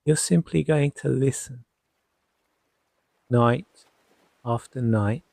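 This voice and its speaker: A man guiding a sleep hypnosis session as a hypnotherapist, soothing and gently reassuring, leaving long pauses between short phrases. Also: medium pitch, vocal fry, no room sound. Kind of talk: guided sleep hypnosis narration.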